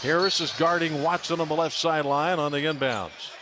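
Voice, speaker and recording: A man's voice: on the high side, a little fast, music in the background. A man calling live basketball play-by-play on the radio, emphatically announcing scores and plays. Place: large indoor basketball arena. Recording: noisy arena broadcast. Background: loud arena noise, bouncing basketball.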